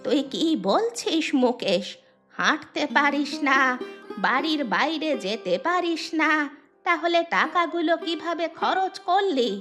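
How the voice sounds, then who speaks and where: Give the cartoon a fretful village housewife with a very high voice. She questions and scolds as she talks. Music plays clearly under her lines. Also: animated, unhurried, sharp tone, heavily acted. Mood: scolding. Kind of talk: animated story dialogue acting.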